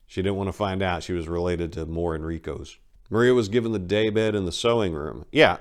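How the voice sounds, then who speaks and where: Deep-voiced man narrating dramatically. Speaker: host and narrator. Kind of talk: dramatic scripted story narration.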